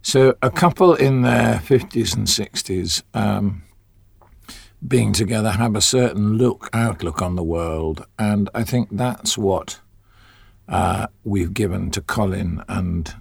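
A man's voice: fairly low pitch, takes it slowly, gravelly, slightly rough, no room sound. A middle-aged man, an actor, talking reflectively in an interview. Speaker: audio drama actor.

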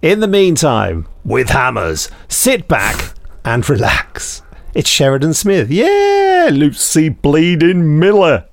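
A man hosts a podcast in a casual, joking style, his pitch moving around animatedly. His voice has a gravelly creak, and he rambles along wryly. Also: wildly swooping pitch, takes it slowly. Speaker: podcast host.

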